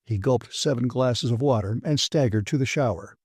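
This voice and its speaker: A man reads science fiction as an audiobook narrator. His voice sits at an average male pitch with a mellow, relaxed tone, and the recording is very dry. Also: normal speed, breathy edge, no echo.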